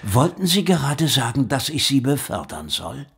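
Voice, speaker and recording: Knarziger, strenger Polizeichef. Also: male voice, medium pitch, big pitch swings, normal speed, vocal fry, fairly bright tone, husky, full character voice. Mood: gruff, grudging. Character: stern, irascible male police superintendent. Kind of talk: German radio drama acting.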